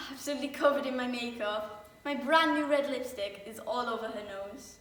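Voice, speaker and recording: A woman's voice: on the high side, normal speed, a bit bright, natural voice. Librarian mum, orderly and confiding, woman in role; distant, roomy echo. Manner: confiding, wry storytelling monologue. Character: orderly librarian woman, young mother, chatty and fond. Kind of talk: stage monologue. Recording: echoey room from far off.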